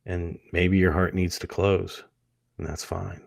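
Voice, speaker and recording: A man leading a guided hypnosis meditation, speaking gently and soothingly in short phrases with many pauses. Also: low-pitched, little pitch movement, vocal fry, no echo.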